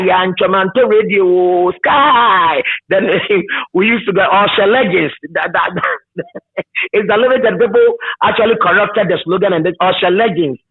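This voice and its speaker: Male radio presenter as guest, Ghanaian accent, high voice, emphatic repetitions, tinny telephone-quality audio. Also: husky. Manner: emphatic, repeats words, hesitant searching starts. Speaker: radio presenter being interviewed. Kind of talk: reminiscing in conversation. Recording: tinny phone-like line.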